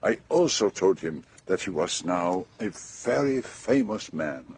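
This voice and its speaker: An actor playing a fussy, shrewd older detective with a Belgian French accent in a radio drama, speaking precisely and deliberately. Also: male voice, low voice, lively intonation, a little mellow, a little hiss or hum. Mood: amused.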